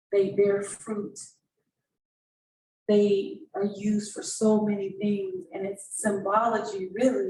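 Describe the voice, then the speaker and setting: A woman giving earnest, reflective remarks on a panel, pausing often in mid-phrase. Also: mid-range voice, darkish tone. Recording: echoey room, distant mic, background noise.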